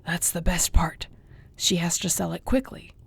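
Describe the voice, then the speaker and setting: A woman playing an affectionate orc warrior girlfriend in an intimate audio roleplay, speaking softly and tenderly with a husky voice. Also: fairly low pitch, exaggerated voice. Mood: warm, hopeful. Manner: soft, tender, unhurried, often near a whisper.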